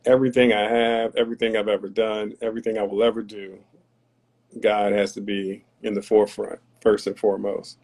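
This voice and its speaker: A man's voice: fairly low pitch, little pitch movement, fairly quick, darkish tone. A man from North Carolina, a podcast guest talking in an earnest, philosophical, somewhat preachy way. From Tarboro, North Carolina. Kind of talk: reflective conversational talk.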